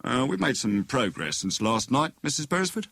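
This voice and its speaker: A man voicing a stiff, solemn police inspector with a British accent, politely formal and a little halting, in an audiobook. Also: mid-range voice, big pitch swings, gravelly, a bit bright, no room sound, full character voice. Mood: businesslike. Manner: formal, hesitant fillers.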